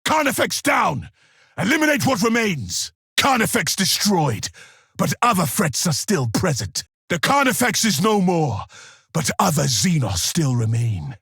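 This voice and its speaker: Very raspy, forceful high-pitched soldier. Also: male voice, sing-song, a little slow, dry recording, clean studio sound, exaggerated voice. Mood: commanding. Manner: forceful, solemn, clipped declarations. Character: grim, duty-bound male space-marine soldier, impatient for battle. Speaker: video game character. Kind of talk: dramatic video game battle barks.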